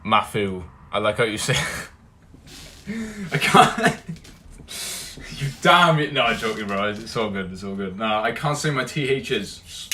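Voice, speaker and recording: Man, young, Welsh accent, livestream host, casual chat, bright forceful voice, faint room noise behind. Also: lively intonation, takes it slowly, pauses often. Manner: greets viewers loudly and energetically, drops to a low mumble when musing.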